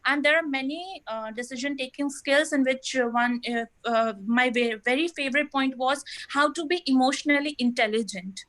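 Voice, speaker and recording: A woman's voice: sits high, moderate pace, few pauses, fairly bright tone. A woman on a video call from home giving earnest summary comments on a talk, with many fillers and restarts. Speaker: discussant in an online webinar. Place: home, toddler nearby.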